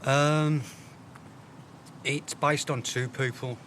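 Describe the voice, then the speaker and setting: A man speaking candidly and reflectively about his own poetry, hesitant, with long pauses. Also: mid-range voice, steady tone, a bit bright, a little hiss or hum. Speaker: poet. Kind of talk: candid personal talk about own poems.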